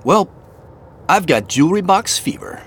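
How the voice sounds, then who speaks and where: An actor playing an excited younger brother on a treasure hunt, in naturalistic audio drama dialogue. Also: male voice, sits high, unhurried, breathy edge, heavily acted. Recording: faint vehicle noise behind. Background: car driving.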